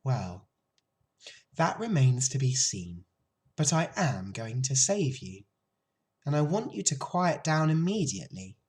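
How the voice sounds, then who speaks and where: A woman voicing a calm, firm female spider in a storybook, measured, thoughtful and no-nonsense. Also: rumbling low, slightly rough, pitched above the performer's own voice, heavily acted. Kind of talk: storybook character voice.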